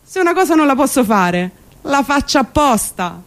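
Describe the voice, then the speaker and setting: A woman's voice: high-pitched, very animated, moderate pace. A woman performing a comic theatrical monologue, colloquial, wry and emphatic. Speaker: stage actress and monologist.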